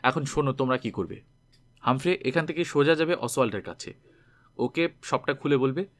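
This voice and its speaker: A man's voice: medium pitch, lively intonation, fairly quick, lightly acted. A man reading the part of a decisive, caring young man who gives firm instructions in a story. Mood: firm, instructing.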